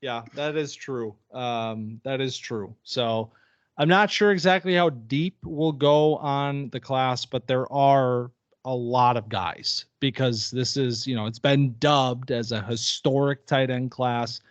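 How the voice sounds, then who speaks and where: A man's voice: mid-range voice, steady tone, slow, no echo, dull audio. A man co-hosting a casual sports podcast, chatty and upbeat, often restarting his phrases. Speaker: podcast co-host.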